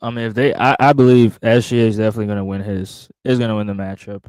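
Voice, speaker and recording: A man co-hosting a casual sports chat, speaking in a measured, hedging way. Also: lowish voice, fairly even intonation, a little mellow, clear, resonant tone, dry recording.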